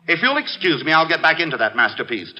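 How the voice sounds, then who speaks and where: Brusque high-voiced arrogant sculptor. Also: male voice, very animated, quick pace, pushed and bright, heavily acted. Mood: scornful. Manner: dismissive, impatient, contemptuous. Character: brusque, arrogant male sculptor absorbed in his work. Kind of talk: 1950s radio drama acting. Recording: muffled old radio recording, long reverb.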